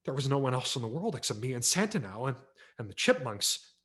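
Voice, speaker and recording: A man narrating a humorous story aloud in a wry, expressive reading style for a virtual event. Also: medium pitch, fairly quick. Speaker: audiobook narrator. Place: home setup for a virtual event.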